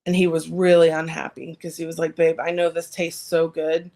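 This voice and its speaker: A woman co-hosting a podcast in a casual chat, her voice slightly raspy after recent surgery. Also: deep voice, monotone, moderate pace, darkish tone. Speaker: podcast co-host.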